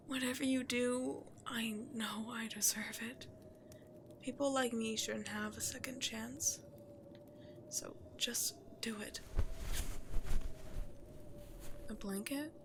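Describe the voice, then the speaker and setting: A woman playing a remorseful, self-loathing cursed princess turned beast in an ASMR roleplay, halting and confessional, often hushed to near-whispers. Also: fairly high pitch, slight background noise. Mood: fearful, resigned. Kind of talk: dramatic ASMR roleplay monologue to the listener.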